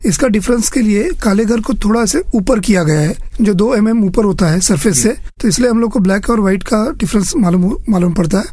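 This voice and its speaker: A man's voice: high-pitched, dark tone, no room sound. A man giving earnest, methodical explanations as an interview guest, mixing in English phrases. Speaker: chess federation official interviewed as guest.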